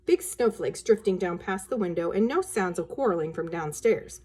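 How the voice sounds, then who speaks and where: A woman reading a children's story aloud in an expressive narrating style, with casual asides. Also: fairly low pitch, moderate pace, husky.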